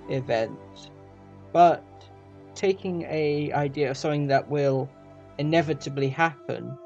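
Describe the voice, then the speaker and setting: A man giving an informal scripted review, with halting, broken phrasing. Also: mid-range voice, creaky, a little mellow, highs cut off. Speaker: audio drama reviewer. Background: faint music.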